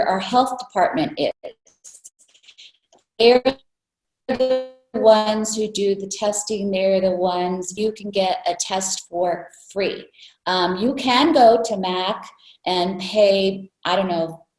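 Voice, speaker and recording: A woman speaking as a Red Cross spokesperson, explaining things clearly and firmly. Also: mid-range voice, fairly even intonation, takes it slowly, a little mellow, soft background music. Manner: clear, firm, clarifying. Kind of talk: explanatory presentation. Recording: echoey room sound.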